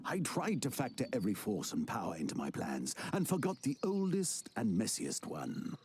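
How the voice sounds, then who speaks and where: An actor playing an older, weary male wizard mentor in a video game cutscene, speaking in a measured, solemn way with a dry teasing edge. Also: lowish voice, wildly swooping pitch, background noise. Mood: grave. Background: game score music.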